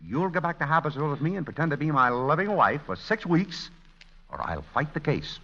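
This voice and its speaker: An actor playing a smooth-talking, flirtatious con man in a radio romantic comedy, stammering then recovering with wisecracks. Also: on the high side, big pitch swings, a little fast, sharp tone, exaggerated voice. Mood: pleading, wheedling. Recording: dull, muffled vintage radio recording.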